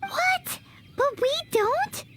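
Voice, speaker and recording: Game role: tiny childlike sidekick girl, squeaky-high, swooping delivery, curious and chirpy. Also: very slow, background noise, exaggerated voice. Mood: dismayed. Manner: chirpy, expressive. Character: small, childlike, curious floating companion girl. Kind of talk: video game voice acting. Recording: music behind the voice.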